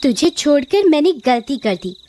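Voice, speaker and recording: A woman's voice: sits high, big pitch swings, normal speed, dark tone, slight background noise, voice pushed up. A woman voicing a theatrical female witch in a cartoon horror story, menacing and falsely sweet. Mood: gloating, menacing. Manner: taunting, theatrical, switching to coaxing sweetness.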